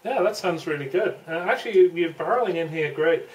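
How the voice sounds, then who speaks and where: A woman's voice: fairly low pitch, animated, fairly quick. A woman chatting casually as an amateur radio operator over a shortwave radio transmission. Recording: distant radio link with faint static.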